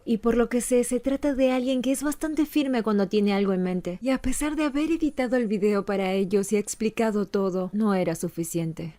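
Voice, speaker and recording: A woman's voice: a little high, mellow, lightly acted. A woman voicing a young female animator who earnestly narrates a painful personal confession for a fan dub.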